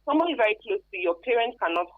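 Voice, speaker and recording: A woman's voice: on the high side, animated, racing. A woman with a Nigerian accent explaining things emphatically and conversationally as a guest expert in a radio interview, with local Pidgin tags. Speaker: guest expert on human trafficking. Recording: thin phone-like band-limited radio sound.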